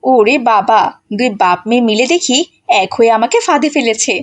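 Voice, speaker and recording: A high female voice put on by a narrator plays a selfish, phone-obsessed wife in a cartoon. She is brash and stubborn, with dramatic, defiant whining. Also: lively intonation, brisk, lightly acted. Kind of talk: melodramatic cartoon voice acting.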